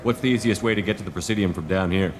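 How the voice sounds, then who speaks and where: A man's voice: deep voice, very fast, slight background noise, close to an everyday voice. A man playing a stoic, determined space commander in a video game, serious and direct. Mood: calm, dry.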